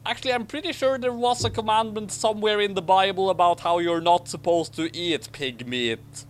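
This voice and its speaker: A man reading a story aloud dramatically, with wry, deadpan asides. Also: high voice, normal speed. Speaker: reader of a comic fan fiction commentary.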